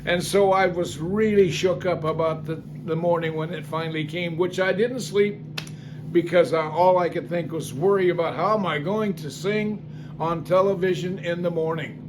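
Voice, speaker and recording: Older guy with a pretty high voice, folksy rambling story, lots of ums, noisy hiss behind. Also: fairly even intonation, moderate pace. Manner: rambling, folksy, many fillers and restarts. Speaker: singer telling life stories. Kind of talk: casual spoken testimony.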